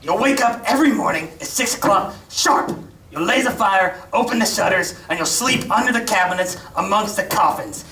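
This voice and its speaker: A woman acting out a coarse, cackling boy bully who sneers at a younger orphan, her mid-pitched voice carried from across a school stage. The sound is distant and roomy with a faint hiss behind it. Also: expressive, normal speed, fairly bright tone. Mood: taunting. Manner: coarse taunting working-class speech, cackling.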